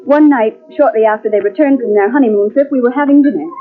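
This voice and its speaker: An actress in an old-time radio mystery playing a guarded, principled secretary who speaks with formal restraint. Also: female voice, fairly high pitch, quick pace, close to an everyday voice. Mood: grave recounting. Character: guarded, principled female secretary narrating her ordeal. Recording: tinny, band-limited old radio cassette transfer.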